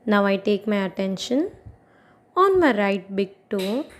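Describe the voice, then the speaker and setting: A woman guiding a meditation as an instructor, giving soothing instructions in short phrases with long pauses between them. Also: mid-range voice, sing-song. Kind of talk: guided meditation, yoga nidra narration.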